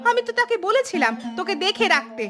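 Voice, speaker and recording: A woman's voice: very high voice, sing-song, fast, music in the background. A woman voicing an exasperated village mother in a cartoon, scolding and complaining about her mischievous children. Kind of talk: Bengali cartoon voice acting.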